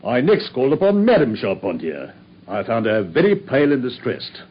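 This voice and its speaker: An actor playing a boastful, self-satisfied Victorian police inspector with a British accent, husky and excitable, in a radio drama. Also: male voice, medium pitch, normal speed. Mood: self-important recounting. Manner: pompous, excitable. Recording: muffled radio recording.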